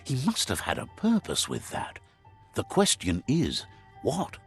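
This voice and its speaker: A man narrating a game's story calmly, like a storybook, and relaying what a creature says. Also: mid-range voice, a little slow, vocal fry, husky, heavily acted. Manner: calm storyteller, translating a creature's speech. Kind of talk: scripted storybook narration for a game. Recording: game audio with faint music behind.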